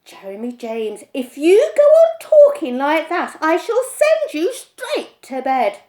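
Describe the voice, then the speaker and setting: Woman as a strict mum, clipped and scolding, lively pitch, storybook parent. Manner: brisk and scolding. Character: firm, no-nonsense mother.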